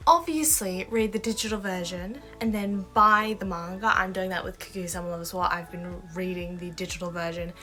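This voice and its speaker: A woman's voice: fairly low pitch, a little fast, hardly any pauses, sharp tone. A young Australian woman with an Australian accent, talking casually and enthusiastically to camera as a manga vlogger. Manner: chatty and enthusiastic, with asides and laughs. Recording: faint background music.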